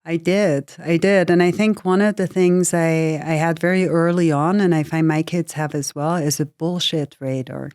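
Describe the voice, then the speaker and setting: A woman's voice: low voice, steady tone, normal speed, hardly any pauses, a little mellow, dry recording, clean studio sound. A woman in her forties from Switzerland, talking frankly as a guest in an interview, often adding "you know" and "right". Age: 40s. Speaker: guest, AI lead in pharma. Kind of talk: casual interview conversation.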